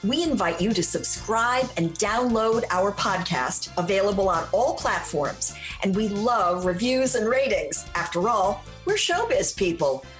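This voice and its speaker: A woman's voice: mid-range voice, lively intonation, a little slow, few pauses. A woman announcer giving a scripted, upbeat outro for a podcast. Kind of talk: scripted promo read. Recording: roomy voice over music.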